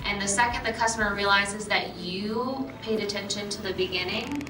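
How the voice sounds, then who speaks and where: A woman's voice: medium pitch, moderate pace, noisy background. An American woman giving practical explanations of her work practice in a group discussion. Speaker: car dealership employee, workshop participant. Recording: echoey room, distant mic, background music.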